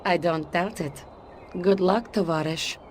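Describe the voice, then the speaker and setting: A woman's voice: fairly low pitch, unhurried, a little hiss or hum, natural voice. A woman playing a shrewd Soviet spy in a video game, speaking with a Russian accent in a guarded, wry way. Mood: wry, dismissive. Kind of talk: video game cutscene voice acting.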